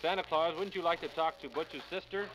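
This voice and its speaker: A man working as a live radio announcer in the 1950s, coaxing children in a halting, folksy way and repeating their answers. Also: on the high side, noisy background. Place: toy store live broadcast.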